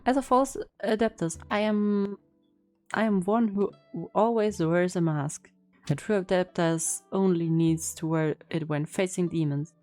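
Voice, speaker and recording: A woman streaming a game in a casual way. Her voice is soft-edged and mellow, a little low, as she reacts and reads aloud over faint music. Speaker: gaming streamer.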